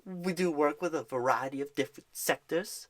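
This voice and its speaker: A performer as eager job recruiter, medium pitch, soothing ASMR roleplay, reassuring, wordy, salesy phone manner. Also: normal speed, lightly acted. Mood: encouraging, persuasive. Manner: encouraging, salesy, wordy, repeats for emphasis.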